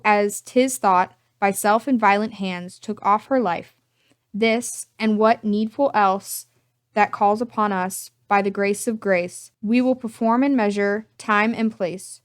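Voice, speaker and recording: A woman's voice: mid-range voice, smooth, no room sound, clean studio sound, lightly acted. A woman playing a gracious, authoritative young king in an amateur Shakespearean dramatic reading. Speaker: amateur reader in library staff dramatic reading.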